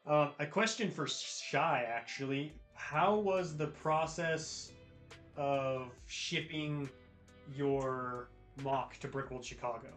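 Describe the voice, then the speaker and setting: A man's voice: a little high, takes it slowly, a bit bright. An American man hosting a livestream, chatting casually with viewers in a laid-back, slangy way. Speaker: livestream host. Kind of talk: casual chat with live viewers. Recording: roomy echo with music behind. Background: music, guitar.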